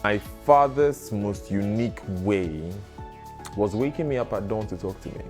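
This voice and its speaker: A man's voice: on the low side, animated, a little slow, darkish tone, soft background music. A man telling personal stories in an interview, reflective and often breaking into laughter. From Ghana.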